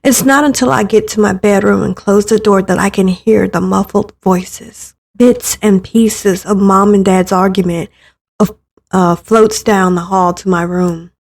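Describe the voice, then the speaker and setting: A woman's voice: mid-range voice, fairly even intonation, unhurried, creaky, a little mellow. A woman reading scripted first-person narration for a dramatic visual novel story.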